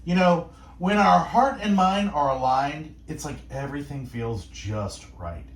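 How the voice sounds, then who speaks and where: A male radio host speaking in a calm, soothing, meditative way, gently musing and asking reflective questions. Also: fairly high pitch, unhurried, reverberant, a little hiss or hum. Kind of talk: gentle devotional radio talk.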